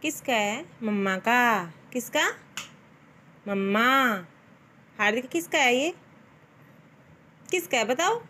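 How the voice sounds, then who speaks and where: A woman's voice: a little high, animated, takes it slowly, pauses often, sharp tone, slight background noise. A young woman talking playfully to her small child in a coaxing, sing-song way. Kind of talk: playful child-directed chat. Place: indoors in a bedroom at home.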